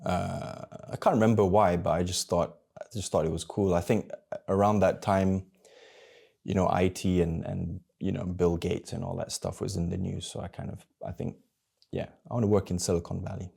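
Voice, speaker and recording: A man in his thirties from Malaysia, talking candidly to camera about his own life, halting and searching for words as he goes. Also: deep voice, flat delivery, gravelly, dark tone, breathy edge. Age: 30s. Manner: candid and introspective. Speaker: vlogger. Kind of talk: personal reflective monologue.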